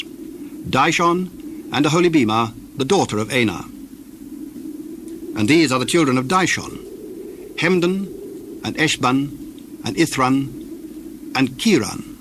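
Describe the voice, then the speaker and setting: Measured male scripture narrator, mid-pitched. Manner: measured, deliberate, with pauses between phrases. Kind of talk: solemn scripted Bible narration. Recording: older recording with faint background noise.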